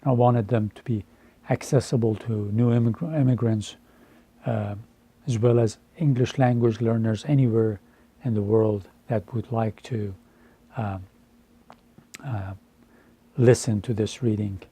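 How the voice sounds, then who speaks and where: A man in his fifties from Iran, a Farsi speaker, speaking deliberately and earnestly to camera with frequent hesitations. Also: on the low side, steady tone, mellow, husky. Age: 50s. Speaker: translator. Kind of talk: earnest personal address to camera.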